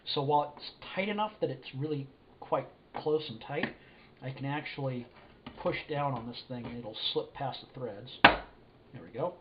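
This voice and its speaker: A man with a cold, sniffly, talking through an electronics assembly at his workbench in a halting, thinking-aloud way. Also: medium pitch. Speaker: hobbyist electronics builder. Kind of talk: casual hands-on assembly walkthrough. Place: indoor workshop bench. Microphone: camera mic above workbench. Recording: dull lo-fi camera audio with faint hiss.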